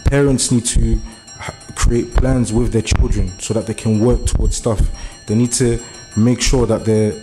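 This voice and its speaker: A man's voice: lowish voice, fairly even intonation, measured pace, hardly any pauses, dark tone, faint music behind. A young man from Germany giving an earnest public speech at a meeting, hesitant and full of fillers at first, then building to urgent appeals. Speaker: community speaker. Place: meeting room.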